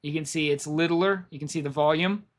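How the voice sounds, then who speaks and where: Hoarse man rambling through market levels. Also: on the high side, steady tone, a little fast. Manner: rambling, repeats himself, thinks aloud. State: losing his voice. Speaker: stock market streamer. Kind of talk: live trading commentary.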